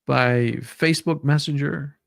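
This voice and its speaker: Livestream host, mid pitch, unhurried delivery, informal and jokey, dramatic reading mixed with chat, dry sound. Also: fairly even intonation, vocal fry, dry recording.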